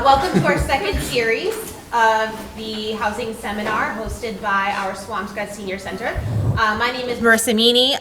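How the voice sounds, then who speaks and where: A woman town planner opens a public meeting with brisk, organized announcements. Her voice is bright and forceful, and a little noise sits behind it. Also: mid-range voice. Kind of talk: welcoming remarks and announcements.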